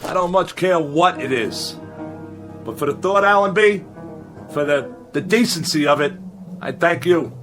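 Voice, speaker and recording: An actor in a radio drama playing a sardonic, weary convict living alone in exile on an asteroid. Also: male voice, fairly high pitch, expressive, unhurried. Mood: grateful. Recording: music behind.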